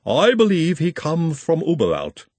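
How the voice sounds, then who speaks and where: A grave, formal stranger in a storybook, as a male reader puts him on. He speaks slowly and politely in a timbre unlike the reader's own, husky and dry. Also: a little high, wildly swooping pitch, a little mellow, no echo, pitched above the performer's own voice, heavily acted. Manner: slow, measured and polite. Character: formal, mysterious man, slow and courteous.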